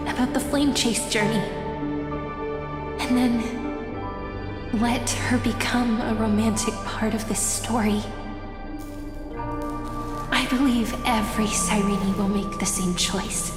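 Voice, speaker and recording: A woman playing a playful, tender young heroine in a video game, speaking in a gentle, dreamy, unhurried way. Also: a little high. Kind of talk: dramatic video game voice acting. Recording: echoey long reverb, music behind.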